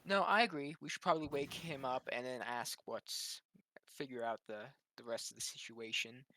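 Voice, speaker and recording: A man's voice: mid-range voice, fairly even intonation, moderate pace, sharp tone, a little hiss or hum, low-quality recording. A man chatting casually as a player at a tabletop game.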